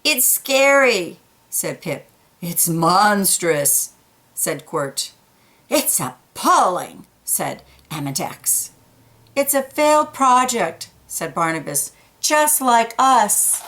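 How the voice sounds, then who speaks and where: A woman reading a children's picture book aloud, pausing for quiet asides about the pictures. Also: mid-range voice, big pitch swings. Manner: slow, gentle, pointing out pictures in quiet asides.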